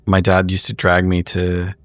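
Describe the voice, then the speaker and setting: A man's voice: sits low, monotone, measured pace, dry recording. A man playing a tabletop roleplaying game, talking in character in a dry, understated, hesitant way.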